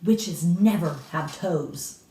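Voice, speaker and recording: A woman's voice: fairly low pitch, slow pace, warm tone. A woman reading a storybook as a grave, deadly serious elderly grandmother who stretches key words, in a roomy, distant recording. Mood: grave, emphatic. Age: older. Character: grave elderly grandmother solemnly warning about witches. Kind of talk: dramatic children's storybook reading. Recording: roomy echo, distant mic.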